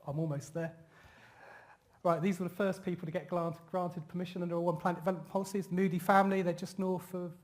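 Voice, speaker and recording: A man giving a talk to an audience about planning, pausing often with fillers and restarting phrases. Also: high voice, little pitch movement. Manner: halting, many fillers and restarts. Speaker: conference speaker. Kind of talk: presenting a talk with slides.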